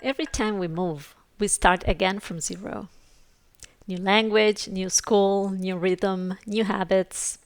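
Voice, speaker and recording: A woman narrates as a podcast host in a scripted, reflective style. Her delivery is slow and measured, at an average pitch. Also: steady tone.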